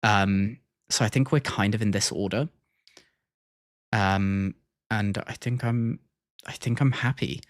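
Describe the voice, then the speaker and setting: A man's voice: fairly low pitch, slow, long pauses, no room sound, studio quality. A man giving casual livestream reaction commentary, thinking aloud in a hesitant way. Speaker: streamer.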